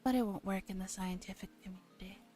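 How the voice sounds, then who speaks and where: A woman's voice: mid-range voice, fast, dark tone, close to an everyday voice. A woman voicing a stern, proud young female scientist from a visual novel, firm and argumentative. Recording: music behind the voice.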